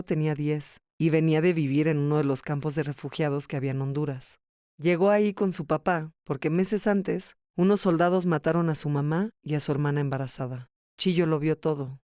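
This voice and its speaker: Guy narrating a documentary podcast, scripted, kinda high voice, very dry studio sound. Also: steady tone, brisk, darkish tone, clear, resonant tone, no room sound.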